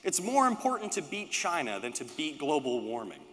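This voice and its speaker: A man giving a prepared political speech at a conference, with emphatic, rhetorical phrasing and deliberate repetition. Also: fairly high pitch, wildly swooping pitch, a bit bright. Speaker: political speaker, panelist. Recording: reverberant hall with long echo.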